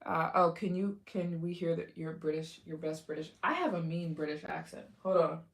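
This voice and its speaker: A woman's voice: low voice, normal speed. A woman hosting a casual livestream, chatting with viewers, thanking donors and giving motivational talk about taking risks. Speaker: livestream host. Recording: echoey room.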